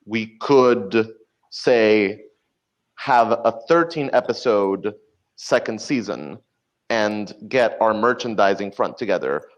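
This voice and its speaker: A man's voice: on the low side, lively intonation, takes it slowly. A man in a casual interview, explaining things as a guest writer and acting out little bits of dialogue. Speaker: podcast guest, audio drama writer. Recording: slightly dull, lo-fi audio.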